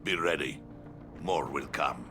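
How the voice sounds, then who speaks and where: A man's voice: rumbling low, a little slow, bright, forceful tone, faint music behind, heavily acted. A man playing a menacing, power-hungry hulking supervillain in a video game, stern, clipped and portentous.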